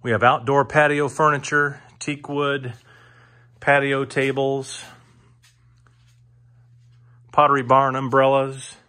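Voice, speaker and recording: A man giving an informal auctioneer's walk-through of items for sale inside a house, speaking haltingly and repeating himself for emphasis. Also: medium pitch, level tone, bright, forceful tone. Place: indoors, moving through rooms of a large house. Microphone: handheld phone or camera, held by the speaker.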